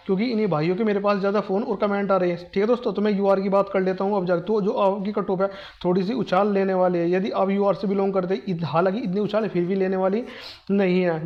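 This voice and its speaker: A man's voice: high-pitched, lo-fi sound. A man gives exam cutoff advice to students, repeating reassurances in an urgent, insistent way. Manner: repeats "ठीक है दोस्तों", fast insistent reassurance. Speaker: exam coaching video host. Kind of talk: direct-address exam advice.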